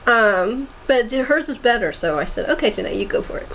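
A woman's voice: fairly high pitch, expressive, a little fast. A woman hosting a casual interview chat, talking in a chatty, jokey way. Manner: chatty, jokey, self-described sarcastic. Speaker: host and radio playwright. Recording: noisy, tinny phone-line audio.

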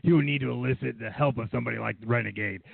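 A man's voice: mid-range voice, racing, gravelly, crowd noise. A man co-hosting a wrestling podcast, insistently arguing his point. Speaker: podcast co-host. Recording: phone-line call with faint noise.

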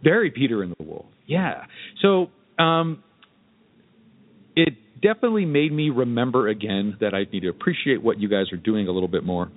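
A man's voice: medium pitch, expressive, moderate pace, no echo. A man hosting a podcast, chatting casually in a rambling, hesitant way with loose filler phrases. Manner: rambling, hesitant, frequent "you know" and "kinda". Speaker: podcast host. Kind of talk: casual interview chat. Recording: dull lo-fi, dry podcast audio.

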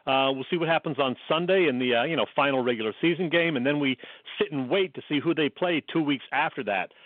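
A man's voice: fairly high pitch, fast talker, no room sound. A man co-hosting a sports radio show, giving his opinions in a casual, matter-of-fact way. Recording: dry, thin phone-like sound.

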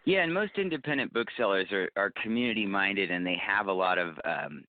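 A deep-voiced man speaks as an interview guest on a thin, dry phone connection. He talks casually and earnestly, with clear enthusiasm for the work. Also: expressive, fairly quick, no room sound. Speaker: podcast guest, bookseller and podcast producer. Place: phone call. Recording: roomless tinny phone line.

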